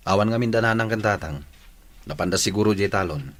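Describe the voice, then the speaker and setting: A man's voice: fairly low pitch, fast talker, fairly bright tone, a little hiss or hum, close to an everyday voice. A man with an Ilocano accent acting a breezy, bossy, thrifty young husband in a comedy drama. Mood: casual, bossy.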